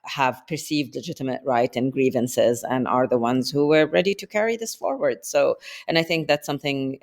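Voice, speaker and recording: A woman's voice: very deep voice, moderate pace, vocal fry. A woman guest analyst in a podcast interview, explaining at length in a thoughtful way.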